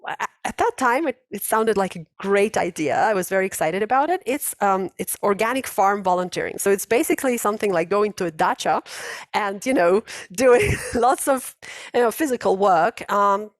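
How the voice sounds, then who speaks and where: A woman's voice: medium pitch, expressive, normal speed, no echo. Woman host, 40s, chatty and laughing, dry close video-call sound. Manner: chatty, laughs often, many restarts. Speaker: host of an English conversation class. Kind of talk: casual online class chat.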